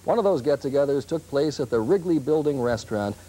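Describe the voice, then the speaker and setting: A man's voice: mid-range voice, animated, fairly quick, warm tone, poor audio. A middle-aged man delivering scripted broadcast news narration as a television reporter. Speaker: TV news media critic and reporter.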